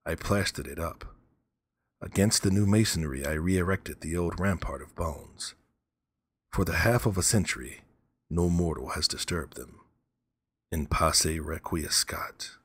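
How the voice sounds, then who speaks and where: Gothic storybook villain, voiced by a man: low, husky, measured and coldly menacing. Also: no echo. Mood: grim. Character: cold, vengeful male murderer narrating his crime. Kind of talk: dramatic gothic first-person narration.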